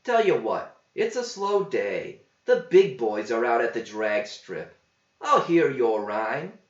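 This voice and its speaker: A man's voice: medium pitch, very animated, slow. A man voicing a brash, sassy young waitress in a verse story, with a dismissive manner. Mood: dismissive, teasing. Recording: roomy, slightly dull home recording.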